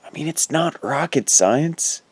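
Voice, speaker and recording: A man's voice: on the high side, lively intonation, a little slow, slightly rough, no room sound. An actor playing a wry, teasing teenage boy ghost in an audio drama, with slangy, needling delivery. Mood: skeptical teasing. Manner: slangy, laid-back, needling.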